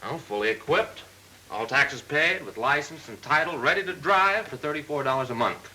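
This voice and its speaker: An actor playing a smooth, persistent car salesman with polite, persuasive, methodical patter in a mid-century training film. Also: mid-range voice, animated, moderate pace, pushed and bright, heavily acted, rough recording. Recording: old film soundtrack with faint hiss.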